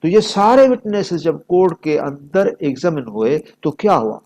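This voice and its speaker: A man's voice: fairly high pitch, sing-song, moderate pace, a little mellow. A man with a Pakistani accent giving an explanatory lecture, reading examples aloud and stressing key phrases as he switches between two languages. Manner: didactic, emphatic, switching between Urdu and English, repeating key phrases. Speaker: law lecturer.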